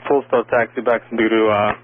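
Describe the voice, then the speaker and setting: A man's voice: lowish voice, little pitch movement, normal speed, phone-quality sound, crowd noise. A male air traffic controller on the radio, giving clipped instructions and rebukes in a firm, curt manner.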